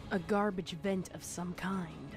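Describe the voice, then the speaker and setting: A woman's voice: lowish voice, fairly even intonation, a little slow, creaky. A woman reading scripted horror fiction as a storyteller. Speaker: narrator. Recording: music under the narration. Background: music.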